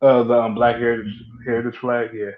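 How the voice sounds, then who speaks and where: Man, mid-pitched, casual storyteller, halting, searching for words, lo-fi chat audio. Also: little pitch movement. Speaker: group chat participant.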